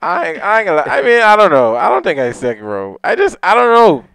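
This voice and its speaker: A young man co-hosts a relaxed podcast from a living-room couch, talking into a handheld mic. His voice is smooth and clear, and the sound is dry and close. He rambles in an easygoing way and often laughs at himself. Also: sits high, quick pace, no room sound. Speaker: podcast co-host. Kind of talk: casual chat. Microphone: handheld mic with headphones.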